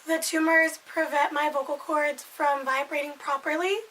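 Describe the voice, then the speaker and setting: Woman, 20s, hoarse raspy voice pitched high, loose rambling vlog delivery. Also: little pitch movement, normal speed, fairly bright tone, low-quality recording. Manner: rambling, loses her thread and repeats herself. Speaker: vlogger. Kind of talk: casual chat to camera.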